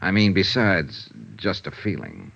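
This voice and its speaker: A man's voice: low voice, big pitch swings, unhurried, creaky, fairly bright tone, full character voice. A man playing a cool, probing investigator in a 1950s radio drama, asking laconic, wry questions. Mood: cool, tactful. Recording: dull, band-limited vintage radio recording.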